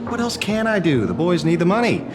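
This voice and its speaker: A man voicing a pragmatic, brisk investigator in a video game, with an animated delivery. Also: high-pitched, over music. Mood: wry.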